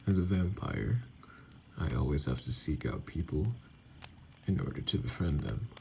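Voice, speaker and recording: A man playing a bitter, wounded vampire outcast in an ASMR roleplay, speaking in a breathy, halting, brooding way that often drops to a whisper. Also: a bass voice, lively intonation, dark tone, slight background noise, full character voice. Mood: lonely, resigned. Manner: slow, halting, brooding delivery. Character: wounded, bitter male vampire outcast, self-loathing and lonely.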